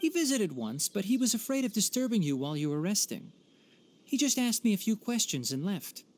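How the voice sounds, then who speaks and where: A man voicing a calm, clever young forest ranger mentor in a video game, measured and articulate in delivery. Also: sits high. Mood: matter-of-fact. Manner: measured, articulate, matter-of-fact. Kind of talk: animated video game dub.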